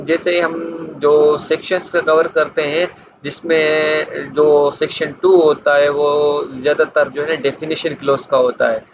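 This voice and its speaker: A man with a Pakistani accent giving an informal law lecture, reading out clauses from slides and explaining them with frequent repetition. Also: a little high. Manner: explanatory, slows markedly when reading English clauses, repeats phrases. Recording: muffled lo-fi audio, clear background noise.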